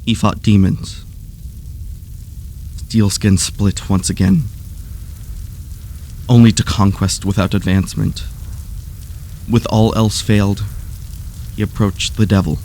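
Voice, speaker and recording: A man acting a solemn, slow-spoken, low-voiced fallen superhero. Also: little pitch movement, a little mellow, slight background noise. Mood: solemn, weary. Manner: halting, solemn, deliberate. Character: anguished, noble male superhero confessing his fall. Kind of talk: audio drama monologue, solemn storytelling.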